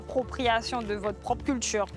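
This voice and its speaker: A woman's voice: fairly high pitch, steady tone, moderate pace. A woman interviewing in a casual, slangy way, reacting often as she listens. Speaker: reporter, interviewer. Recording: music behind the voice. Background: background music.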